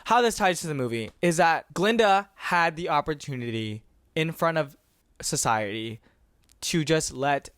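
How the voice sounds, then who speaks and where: A man's voice: fairly high pitch, expressive, a little slow, fairly bright tone, no room sound, clean studio sound. A man in his twenties, a podcast host raised in Texas and California, rambling passionately in a casual monologue with some swearing.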